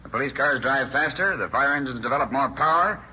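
An earnest chemist, very sharp voice. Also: male voice, medium pitch, expressive, brisk, slightly rough, heavily acted. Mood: earnest, pleased. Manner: explanatory, emphatic. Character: earnest, enthusiastic male chief chemist explaining his discovery. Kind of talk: 1930s radio advertising sketch acting. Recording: dull old radio recording, faint noise.

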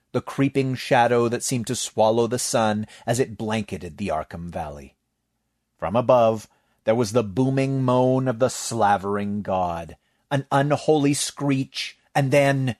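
A man reading a horror comedy story aloud in a measured storytelling manner. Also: on the low side, darkish tone, no room sound, studio quality. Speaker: narrator. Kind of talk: scripted story narration.

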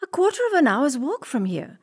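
Woman playing a sweet little storybook girl, high voice, innocent, polite, wide-eyed, lively intonation. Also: fairly quick, slightly rough, exaggerated voice. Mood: polite, trusting.

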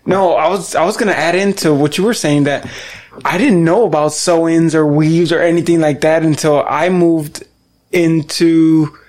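A young man with a higher voice joins a loose podcast chat. He jokes, laughs easily and swears in passing. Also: fairly even intonation, moderate pace, a little mellow. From Chicago, United States. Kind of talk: casual chat.

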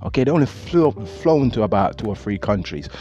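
Middle-aged man, earnest rambling monologue, mellow voice, soft music behind. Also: on the low side, big pitch swings, a little fast. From Jamaica. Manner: earnest, repeats words, loose grammar. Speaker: motivational speaker. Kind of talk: reflective monologue. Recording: dull lo-fi audio with faint music.

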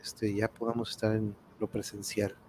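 A man's voice: fairly low pitch, completely flat delivery, normal speed, a little mellow. A man with a northern Mexican accent hosting a casual livestream, chatting and dropping in English phrases. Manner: sprinkles English phrases into his talk. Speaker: host of an online livestream show. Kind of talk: casual livestream sign-off chat. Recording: clear background noise.

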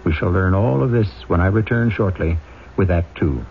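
A man hosting and narrating an old-time radio drama in a measured, dramatic way. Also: deep voice, vocal fry. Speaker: host and narrator. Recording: phone-like old radio recording with music. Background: music.

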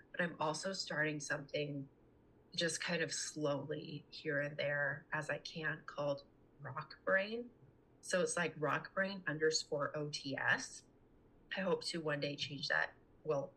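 Young woman chatting on a podcast, kinda low but bright voice, earnest and casual. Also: low-quality recording. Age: young adult. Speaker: podcast guest. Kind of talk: casual conversational interview talk.

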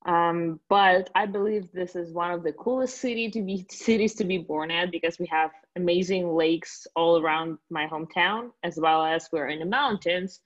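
A woman in her late twenties from Russia with a Russian accent, a guest telling stories in a relaxed way with many fillers. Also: lowish voice, moderate pace, few pauses, dull audio. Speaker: guest, company founder. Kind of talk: interview answers.

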